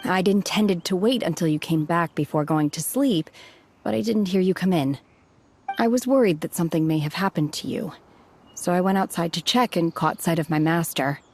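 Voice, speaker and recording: A woman voicing a reserved, earnest young woman in a video game, speaking in formal, measured phrases. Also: fairly low pitch, a little mellow, a little hiss or hum, natural voice. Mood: guarded, matter-of-fact. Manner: formal, measured, stilted wording.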